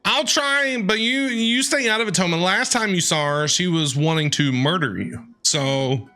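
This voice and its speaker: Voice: medium pitch, normal speed, pushed and bright. A streamer giving casual, playful, chatty commentary while playing through a game. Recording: game music behind.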